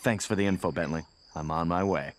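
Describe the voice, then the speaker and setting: A man voicing a suave, cocky young thief hero with a smooth, wry delivery, in a video game cutscene. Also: sits low, a little fast, background noise, close to an everyday voice. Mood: easy. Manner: smooth, laid-back, wry. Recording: game score underneath.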